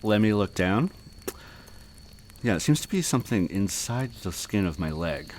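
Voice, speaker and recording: A man co-hosting a comedy podcast, improvising a silly horror story with deadpan running gags. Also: fairly low pitch, moderate pace, slight background noise. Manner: deadpan, running gag delivery.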